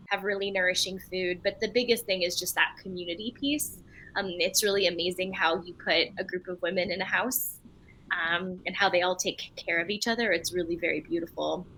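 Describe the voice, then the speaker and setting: A woman's voice: medium pitch, bright, forceful tone, rough recording. A woman from Belgium whose first language is French, a writer and retreat leader answering interview questions in an earnest, candid and reflective way.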